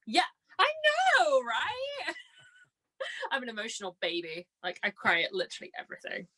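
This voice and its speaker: A young woman chatting casually as a podcast guest, telling anecdotes in a gushing, excitable way. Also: fairly high pitch, normal speed. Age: young adult. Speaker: podcast guest, amateur actress.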